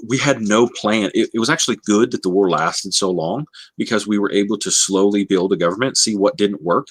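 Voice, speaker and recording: A man with a low voice in a close, dry recording. He talks casually as in a book club chat, pausing and rewording as he searches for the right phrase. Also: dry recording. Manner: halting, searching for words. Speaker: book discussion host.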